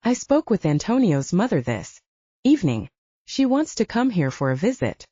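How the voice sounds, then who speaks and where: A gentle, affectionate mother as a woman narrator plays her confides softly in an audiobook scene. The voice is warm-toned with a lively rise and fall. It is recorded dry, with slightly dull sound. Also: medium pitch, moderate pace, husky, no room sound. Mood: apprehensive. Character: gentle mother, affectionate wife talking over family matters.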